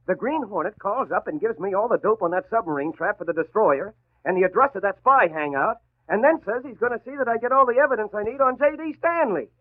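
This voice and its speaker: Brash wisecracking newsman for a 1940s radio serial: high-pitched, excitable, emphatic, tinny vintage broadcast sound. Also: fast. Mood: brash, chatty. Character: brash, excitable, slangy newspaper reporter man. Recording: tinny, band-limited old radio broadcast.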